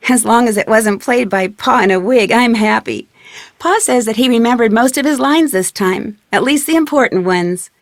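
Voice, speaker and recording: An actress playing a composed, plucky young detective with an American accent, narrating in a scripted video game style. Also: female voice, on the high side, no echo, studio quality, natural voice. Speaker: detective heroine. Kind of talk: scripted game narration, letter read aloud.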